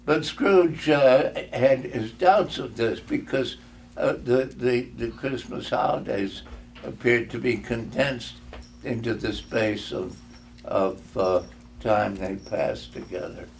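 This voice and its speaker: An older man giving a dramatic storybook reading in a measured, halting manner, sometimes stumbling and repeating words. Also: mid-range voice, creaky, a little hiss or hum. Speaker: reader.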